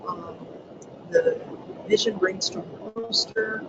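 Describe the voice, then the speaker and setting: A webinar presenter walking listeners through training materials, with a soft voice that does not carry, pausing often with ums. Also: medium pitch, muffled recording. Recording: loud background noise on a webinar mic.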